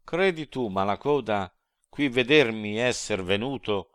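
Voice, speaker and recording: A man voicing a wise old guide who speaks calmly and solemnly to reassure his companion. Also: fairly low pitch, measured pace, clear, resonant tone, no room sound, studio quality, voice pushed up. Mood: firm. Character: wise old male guide, calm and steady.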